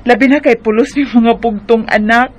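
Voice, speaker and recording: A woman's voice: fairly high pitch, steady tone, normal speed, lightly acted. A woman acting an affectionate, teasing eighteen-year-old daughter in a radio drama.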